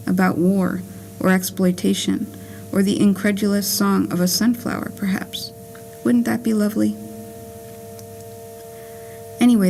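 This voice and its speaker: A woman reading a scripted poetic monologue for a puppet play as a wry, whimsical letter writer from beyond the grave, in a measured, deliberate way. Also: mid-range voice, vocal fry, darkish tone, a little hiss or hum, close to an everyday voice, low-quality recording. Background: music.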